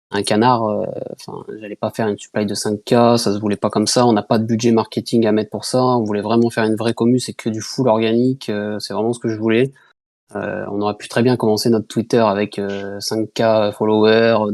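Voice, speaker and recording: A man's voice: lowish voice, darkish tone, dry recording. Hesitant man on voice chat. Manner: hesitant, many fillers and restarts. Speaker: project founder. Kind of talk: casual voice chat. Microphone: computer mic on a voice chat.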